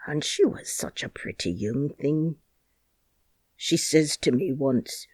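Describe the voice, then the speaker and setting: A woman voicing a crabbed, stubborn old gardener with a Yorkshire dialect, speaking dryly and slowly. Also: low-pitched, expressive, darkish tone, dry recording, full character voice. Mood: wistful. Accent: Yorkshire dialect, put on. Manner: dry, grumbling, slow. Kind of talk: audiobook character reading.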